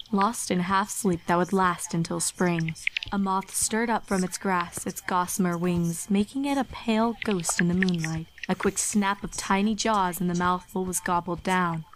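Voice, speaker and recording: A woman's voice: mid-range voice, steady tone, moderate pace, slight background noise, poor audio. A woman reading a scripted story as a dramatic narrator. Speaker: fan fiction narrator. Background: music under the reading.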